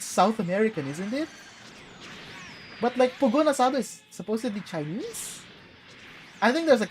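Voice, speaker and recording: A woman's voice: on the low side, a little mellow, slight background noise, rough recording. A young woman from the Philippines chatting casually while playing a game, rambling with fillers and casual swearing. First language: English. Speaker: gameplay streamer. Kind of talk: casual gaming chat.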